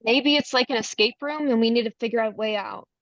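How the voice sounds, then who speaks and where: A very high voice for a kind young pageant contestant acted by a woman. She sounds earnest and concerned, in a dry, slightly muffled table-read recording. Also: quick pace, no room sound, lightly acted. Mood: puzzled. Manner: caring, earnest. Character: kind, concerned young female beauty queen. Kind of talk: screenplay table read acting.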